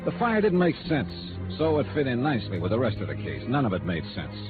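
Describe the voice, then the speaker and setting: Tinny old-radio hard-boiled American detective. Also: male voice, mid-range voice, huge pitch swings, fast talker. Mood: wry, matter-of-fact. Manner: wry, clipped, hard-boiled. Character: tough, skeptical male freelance insurance investigator. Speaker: radio drama lead and narrator. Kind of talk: 1950s radio noir acting. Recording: tinny vintage radio recording with music.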